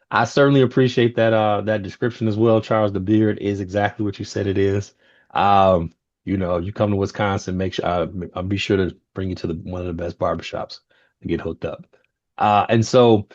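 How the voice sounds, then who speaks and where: Deep-voiced man moderating a panel. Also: dry recording, muffled recording. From United States. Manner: relaxed, chatty, with many fillers and restarts. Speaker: roundtable moderator. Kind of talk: panel discussion.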